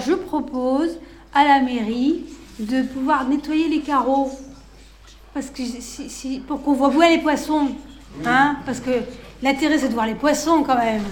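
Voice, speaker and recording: A woman plays a pushy small-business owner who keeps interrupting to press her point. Her voice sits high. She talks insistently, with a few hesitations. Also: reverberant, a little hiss or hum.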